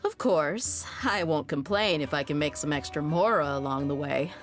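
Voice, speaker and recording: A woman's voice: deep voice, moderate pace, soft background music, natural voice. An actress voicing a tough but easygoing young desert mercenary woman in a video game, with a casual, frank manner. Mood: earnest. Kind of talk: video game character voice lines.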